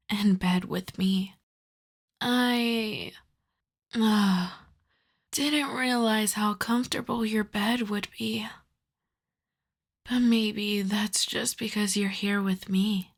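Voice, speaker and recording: A woman voicing a seductive, lovestruck vampire in a soft, breathy, intimate ASMR whisper, coaxing and doting. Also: medium pitch, full character voice. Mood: tender, coaxing.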